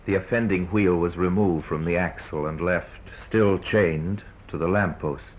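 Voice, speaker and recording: Grave, wry male narrator, very low and measured, old radio crime drama, muffled sound. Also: fairly even intonation, a little hiss or hum. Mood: wry, ominous. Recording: dull old radio recording.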